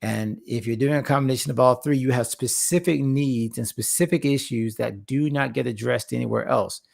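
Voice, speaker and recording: Male livestream host, mid pitch, gravelly and slightly hoarse, earnest and repetitive, casual talk to camera. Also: fairly even intonation, moderate pace, a little mellow. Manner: earnest, repeats words for emphasis, rambling asides. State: slightly hoarse after a long session. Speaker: host and founder of a faith-focused creative platform.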